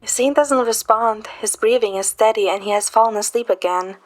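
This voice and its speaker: A woman playing a playful, caring young woman who narrates a romantic story in the first person, in a gentle, soft manner. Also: on the high side, normal speed, lightly acted, poor audio. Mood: gentle, soothing. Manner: gentle, soft, sometimes whispering.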